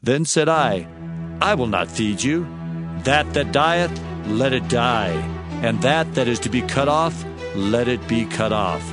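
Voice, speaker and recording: A man narrating scripture in a dramatized reading, with solemn, declamatory delivery and deliberate phrasing. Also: mid-range voice, animated, over loud music. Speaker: Bible narrator. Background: musical score.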